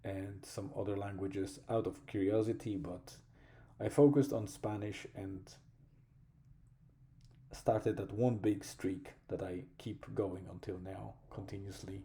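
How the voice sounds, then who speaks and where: A man with a Polish accent talks through a personal vlog in a low, very dark and mellow voice. He speaks slowly and thoughtfully, often stopping to search for the right word. The delivery stays calm and reflective throughout. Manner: slow, thoughtful, pausing to find words. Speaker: vlogger.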